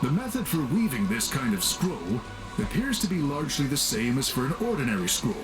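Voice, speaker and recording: A man as a formal, lively-toned scholarly game character. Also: mid-range voice, music in the background, lightly acted, poor audio. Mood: businesslike, courteous. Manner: formal, measured, explanatory. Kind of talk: video game voice acting.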